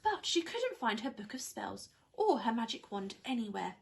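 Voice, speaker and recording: A woman reading a children's story aloud like a primary school teacher, with expressive, dramatised narration. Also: fairly high pitch, moderate pace, gravelly.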